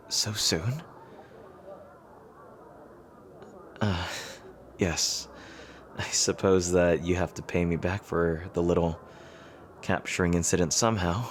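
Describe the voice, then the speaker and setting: Casting: male actor as lovestruck rival king, low-pitched, hesitant and sincere, intimate fantasy romance audio drama, noticeable background noise. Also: natural voice. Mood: relieved, wry. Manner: hesitant, halting, earnest. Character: earnest lovestruck rival king proposing to an enemy knight. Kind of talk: romantic audio roleplay acting, intimate ASMR.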